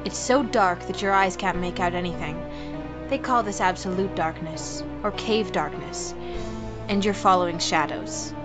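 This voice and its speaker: An actress playing a taunting, menacing woman who toys with her prey, calm and cryptic, in an audio drama. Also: on the low side, moderate pace, loud music behind the voice, lightly acted.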